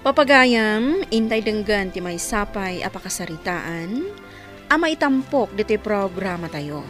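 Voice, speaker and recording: A woman's voice: mid-range voice, lively intonation, a little slow, a bit bright, soft background music. A woman with an Ilocano accent reading a scripted, dramatic introduction as a radio drama announcer.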